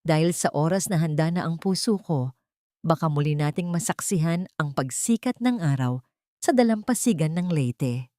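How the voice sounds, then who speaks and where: A narrator voicing weary, loving woman, low-pitched. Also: animated, normal speed, dark tone, dry recording, studio quality. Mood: tender farewell. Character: gentle wounded woman, loving but weary and resolute. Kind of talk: audiobook drama dialogue.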